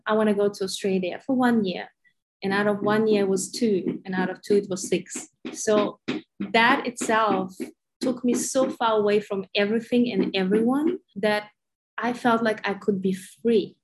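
A woman from Ukraine, a coaching guest on a podcast, talking warmly and encouragingly with slightly phrasing. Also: medium pitch, fairly even intonation, unhurried, a little hiss or hum, poor audio. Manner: warm, encouraging, slightly non-native phrasing. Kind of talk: conversational interview.

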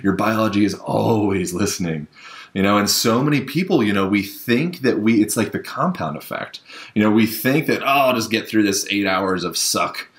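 A man hosting a casual podcast conversation, his voice sitting a bit low. He talks with loose energy and peppers his sentences with "you know." He sounds relaxed and conversational. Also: moderate pace. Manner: energetic, says "you know" often, mimics voices for emphasis. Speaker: podcast host. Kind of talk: casual interview chat. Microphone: phone or remote call.

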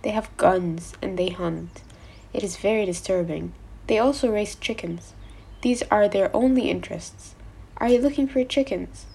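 A woman voicing a gentle, wistful male fox from a storybook, speaking in an earnest, measured way. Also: medium pitch, gravelly, slightly rough. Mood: curious. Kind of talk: storybook character voice.

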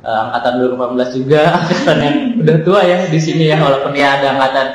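A man's voice: medium pitch, racing. A university student in his twenties with a Jakarta accent, chatting as a podcast guest, laughing often and using many fillers. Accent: Jakarta Indonesian. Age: 20s. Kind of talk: casual chat. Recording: very echoey room, faint noise.

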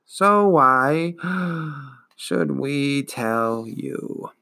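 A man voicing an ancient, weary female swamp turtle who wheezes and gurgles, drawing out every word slowly and without interest. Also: a little high, animated, vocal fry, bright, forceful tone, heavily acted. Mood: weary, indifferent. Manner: very slow, drawn-out, apathetic.

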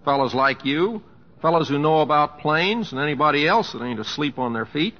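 An actor playing an easygoing middle-aged cowboy humorist with an American accent, speaking in plain, self-effacing homespun phrases in old-time radio style. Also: male voice, a little high, animated, a little fast, lightly acted. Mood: plain conviction. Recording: muffled old radio recording, reverberant stage.

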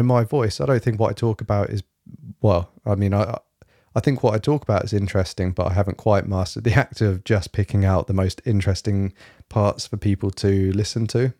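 A young man hosting his solo podcast in a rambling, fairly monotone way, with lots of fillers and a slightly rough throat. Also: on the low side, no echo, clean studio sound. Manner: rambling, many fillers and restarts. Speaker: podcast host. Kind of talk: casual solo podcast chat. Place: home, evening, quiet room.

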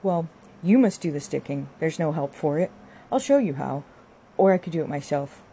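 A woman voicing a brisk, hard, scornful young farm wife who scolds and orders in an audiobook. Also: low-pitched, expressive, dark tone, a little hiss or hum. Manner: scolding, bossy, rustic turns of phrase. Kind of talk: audiobook character dialogue.

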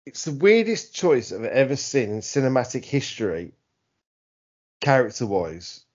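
British male podcast co-host, husky, highly animated, sweary and rambling, given to mocking mimicry, slightly muffled recording. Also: unhurried. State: tipsy. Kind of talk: casual comedic banter about films.

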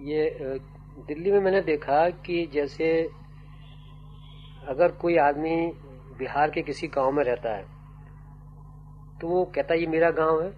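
An older man, a writer and poet, giving slow, musing interview answers that trail off into fillers. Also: on the high side. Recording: dull old tape with faint hum.

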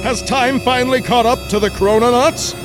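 A man's voice: very high voice, fairly even intonation, normal speed, music in the background, voice pushed up. A man voicing a grandiose, dramatic announcer of a 1940s adventure radio serial.

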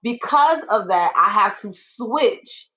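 A woman with a deep voice speaking earnestly and assertively in a casual panel discussion. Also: animated, slow, bright, forceful tone.

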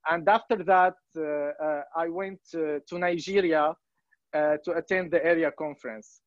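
A man in his thirties from Syria, speaking English as an in a casual testimonial, halting with many fillers and restarts. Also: a little high, steady tone, no echo, soft background music. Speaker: volunteer organization member. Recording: dry, muffled lo-fi sound.

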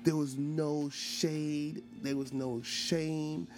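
A man's voice: fairly high pitch, little pitch movement, drawn-out words, darkish tone, soft background music. A man in his forties from Brooklyn, hosting a casual storytelling monologue, chatty with lots of fillers and restarts, often turning to ask his listeners questions. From Brooklyn, New York. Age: 40s. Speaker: podcast host.

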